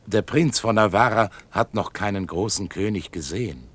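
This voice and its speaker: A deferential royal tutor in an old radio play. His voice sits on the low side and has a husky grain, and his tone stays hushed and apologetic. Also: moderate pace. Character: anxious tutor of the young prince, deferential. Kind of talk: 1970s radio drama acting.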